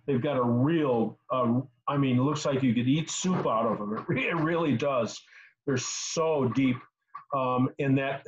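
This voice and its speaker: A man in his sixties giving casual technical advice as a host on a video call, halting and repeating words as he explains. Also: mid-range voice. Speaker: host answering car repair questions. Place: small home room indoors. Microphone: computer mic on a video call. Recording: slightly dull video call audio.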